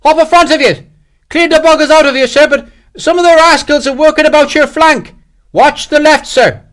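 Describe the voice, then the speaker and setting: Guy doing a cheery confident irish army lieutenant, audiobook style, loud high calling, brisk. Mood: cheerful, confident. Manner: calling out loudly, brisk, assured. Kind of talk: audiobook character acting.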